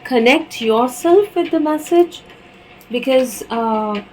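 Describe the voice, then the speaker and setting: A woman's voice: high-pitched, expressive, slow, a little mellow, slight background noise, rough recording. A woman from India with an Indian accent, giving a channelled tarot reading and speaking hesitantly, with many fillers and restarts. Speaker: tarot reader.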